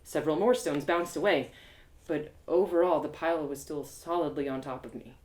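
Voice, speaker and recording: A woman giving a theatrical, expressive dramatic reading of a story as its narrator. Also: low-pitched, normal speed. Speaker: author performing her audio drama. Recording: roomy, echoey sound.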